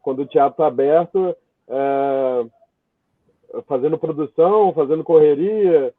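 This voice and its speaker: A man with a Brazilian Portuguese accent giving an informal, halting interview answer as a guest, thinking aloud as he goes. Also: a little high, no echo, narrow, tinny audio. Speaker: guest, theatre writer and director. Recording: thin, band-limited call audio, dry.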